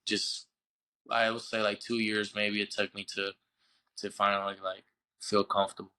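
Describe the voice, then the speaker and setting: A young man from Puerto Rico, a baseball player being interviewed on a podcast, telling his story in a halting way and often repeating words as he searches for the English. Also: lowish voice, little pitch movement, pushed and bright, clear, resonant tone. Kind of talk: casual interview.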